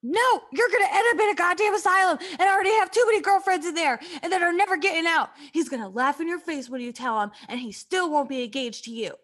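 Actress as high-voiced, exasperated, blunt young woman. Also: animated, fast talker, hardly any pauses, a bit bright. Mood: furious. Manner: sardonic, bossy. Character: exasperated, blunt, sarcastic young female companion. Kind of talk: comedic take on radio drama.

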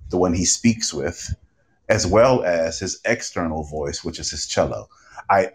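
A man with a very low voice talks on a live panel. He is earnest and reflective, building his points with emphasis like a practised storyteller. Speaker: podcast host and panel guest.

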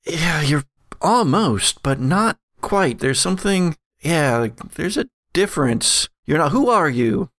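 A man's voice: fairly high pitch, sing-song, slow, a bit bright, no room sound, studio quality, voice pushed up, exaggerated voice. A man voicing a wary middle-aged woman in a tabletop game, coy and knowing as she speaks.

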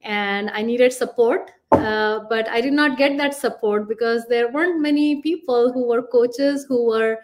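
Woman, Indian accent, middle-aged, higher pitched, hesitant fillers, podcast guest. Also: unhurried, few pauses, a little mellow. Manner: thoughtful, many fillers. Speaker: guest, career coach. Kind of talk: podcast interview answers.

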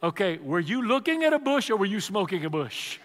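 A man's voice: sits high, very animated, fairly quick, a bit bright, in a large hall, a little hiss or hum. A man playing a skeptical wife who questions her husband wryly. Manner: doubtful, wry questioning.

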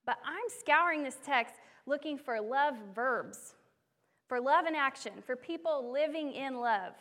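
A young woman preaching from a church stage, telling stories in an animated, self-deprecating way. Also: high voice, unhurried. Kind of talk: sermon. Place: church sanctuary.

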